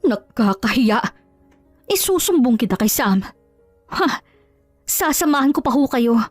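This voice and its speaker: A woman playing a proud, high-voiced young woman. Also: big pitch swings, unhurried, no room sound, faint music behind. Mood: defiant. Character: proud young woman, polite but quick to fight back. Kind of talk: dramatized audio love story.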